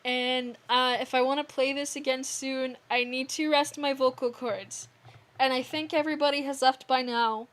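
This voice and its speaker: A young woman streamer with a hoarse, worn voice, reading a dating game aloud and chatting casually to viewers. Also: high-pitched, steady tone, normal speed, a bit bright. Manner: casual, playful, trailing off with restarts. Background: music.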